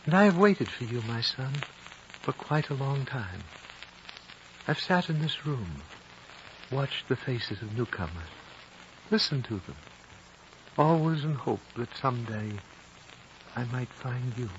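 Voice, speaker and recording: A man's voice: mid-range voice, big pitch swings. Ancient solemn holy man, muffled old recording. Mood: fatherly. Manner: solemn, fatherly, measured. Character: ancient wise dying High Lama, gentle and prophetic. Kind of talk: 1950s radio drama acting. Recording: dull, noisy old radio recording.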